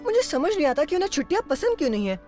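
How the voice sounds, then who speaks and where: A friendly young cartoon woman with a slightly high voice, explaining in a storytelling way. Music runs clearly underneath. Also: big pitch swings, racing, darkish tone. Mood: casual. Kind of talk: animated series dub.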